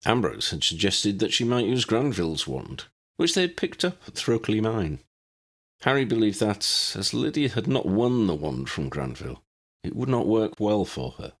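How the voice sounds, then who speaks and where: Low-pitched husky man reading a story. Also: sing-song, moderate pace. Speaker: audiobook narrator. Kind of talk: scripted story narration.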